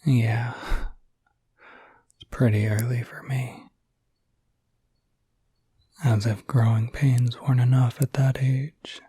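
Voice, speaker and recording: A gentle, reassuring werewolf acted by a man looks after the listener in an intimate ASMR roleplay. His voice is a very breathy, soft whisper at a medium pitch. He speaks slowly and haltingly, with an easygoing, soothing manner. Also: level tone, no echo.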